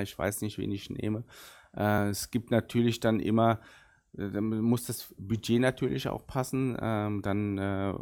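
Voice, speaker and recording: A middle-aged man from Germany whose first language is Arabic, a football sporting director giving thoughtful, unhurried answers in a casual interview. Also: on the low side, a little mellow.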